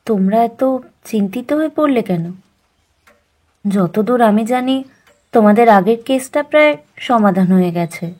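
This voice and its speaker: A woman playing a curious, gently chiding, homely sister-in-law in an audio drama. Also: fairly high pitch, lively intonation, a little slow, pauses often. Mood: curious, concerned. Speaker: actor in an audio detective story. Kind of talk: Bengali audio drama acting.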